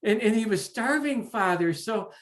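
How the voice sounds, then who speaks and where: A storyteller voicing a devout, gentle young woman, a king's daughter, in a told legend, earnest and soft-spoken. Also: mid-range voice, expressive, moderate pace, pitched above the performer's own voice, natural voice.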